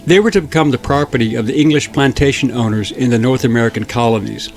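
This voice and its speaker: Measured male documentary narrator. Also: mid-range voice. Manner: measured, steady reading. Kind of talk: scripted historical narration. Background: faint background music.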